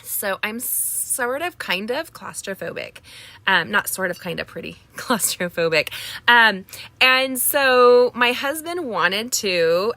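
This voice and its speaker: A woman's voice: mid-range voice, sharp tone, no room sound. A middle-aged woman hosting a live craft class, chatting casually with viewers, greeting them by name, with plenty of filler words. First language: Spanish.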